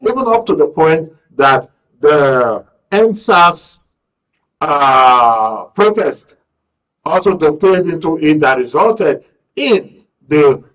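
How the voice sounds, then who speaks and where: A Nigerian man hosts a live current affairs programme in a fairly high voice. He speaks deliberately, using rhetorical questions and repeated phrases to press his points. The audio is slightly dull and lo-fi. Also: sing-song, a bit bright. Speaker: radio talk show host.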